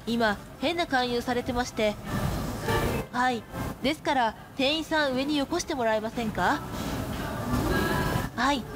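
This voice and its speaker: A woman playing a composed, matter-of-fact high school girl in a Japanese radio drama. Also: unhurried, pauses often, loud music behind the voice, low-quality recording. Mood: calm, businesslike.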